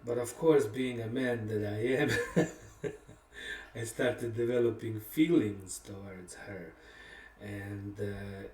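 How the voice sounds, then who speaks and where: Low-voiced man telling a candid story, roomy. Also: a little mellow. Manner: reflective and candid, with many pauses and fillers, laughing at himself. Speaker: songwriter and musician. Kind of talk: casual personal storytelling about a song. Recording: roomy sound from a distant mic.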